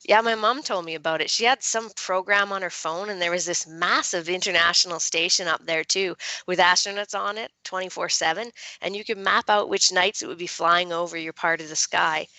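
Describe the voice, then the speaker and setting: Woman reading as an earnest smitten teenage boy, creaky. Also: fairly low pitch, fairly even intonation, fairly quick, few pauses, pushed and bright, no echo, rough recording. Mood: earnest, dreamy. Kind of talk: young adult novel dialogue reading.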